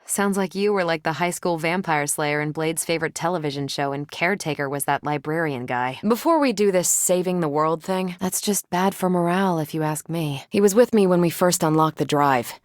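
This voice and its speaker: A woman's voice: sits low, fairly quick, few pauses, no room sound, clean studio sound, natural voice. A woman with an American accent playing a confident, wry, upbeat superheroine in a video game, with breezy, teasing, casual delivery. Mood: casual, frank. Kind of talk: video game voice acting, short one-off lines.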